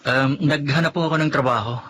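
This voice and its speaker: A man's voice: medium pitch, fairly quick, fairly bright tone, slight background noise, close to an everyday voice. A man playing a humble, grateful young Filipino working man in a radio drama, respectful and a bit hesitant. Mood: respectful, hopeful.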